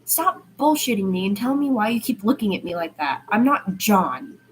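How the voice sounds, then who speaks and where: A sharp-tongued young woman, acted by a woman reading fiction, blunt and impatient. Her lines are curt and challenging, with faint noise in the background. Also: medium pitch, big pitch swings, moderate pace, close to an everyday voice, rough recording. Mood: irritated. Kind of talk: amateur fiction character dialogue.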